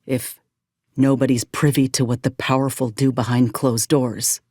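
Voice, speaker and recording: A woman's voice: very deep voice, flat delivery, normal speed, mellow, dry recording, clean studio sound. An actress playing a principled, wounded woman soldier in a video game, weary yet fiercely indignant, speaking earnestly in folksy, old-fashioned frontier phrasing. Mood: shaken, grieving. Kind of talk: dramatic video game acting.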